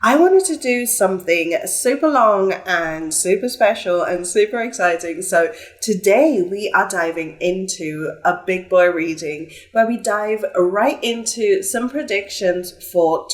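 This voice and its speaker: A woman's voice: mid-range voice, animated, measured pace, hardly any pauses. A young woman, a bit stuffy from a flu, chatting upbeat as she gives a pick-a-card tarot reading. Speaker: tarot reader.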